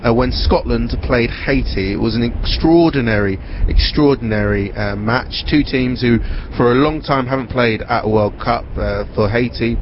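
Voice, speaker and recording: A male reporter presenting a news segment and interviewing people in the field, speaking in a measured way with small hesitations. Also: mid-range voice, lo-fi sound, a little hiss or hum.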